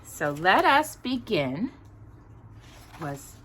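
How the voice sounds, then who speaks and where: A woman hosting a children's storytime, reading aloud expressively in a deliberate storybook manner with casual asides. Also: mid-range voice, a little hiss or hum. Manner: animated, deliberate storybook delivery.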